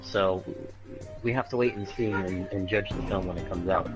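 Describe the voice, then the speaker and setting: A man casually discussing entertainment as a gaming show panelist, pausing to search for words. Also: deep voice, rough recording. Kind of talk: casual discussion of movies and games. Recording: music behind the voice.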